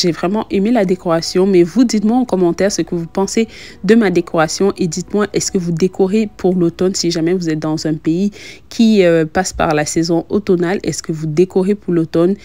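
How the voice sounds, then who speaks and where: A young woman narrates a home decoration vlog in a casual, chatty way. Her voice sits a little low and has a very mellow, soft-edged tone. She speaks straight to her viewers as the host of her own channel. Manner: chatty, addresses viewers directly. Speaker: lifestyle vlogger and host.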